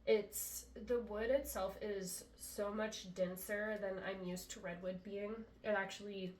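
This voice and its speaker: Woman flute maker, stuffy from a cold, relaxed product demo, recorded a bit off-mic in an echoey room. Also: medium pitch, measured pace, far from the mic, slight background noise. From US Pacific Northwest. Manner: chatty, relaxed, many ums. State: congested after a chest cold. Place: indoor room, talking to camera.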